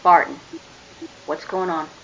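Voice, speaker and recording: Woman acting a low-voiced, firm starship commander. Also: fairly even intonation, measured pace, bright, forceful tone, slight background noise, low-quality recording. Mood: stern. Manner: clipped, official. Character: firm female starship commander, brisk and authoritative. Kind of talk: dramatic radio play acting.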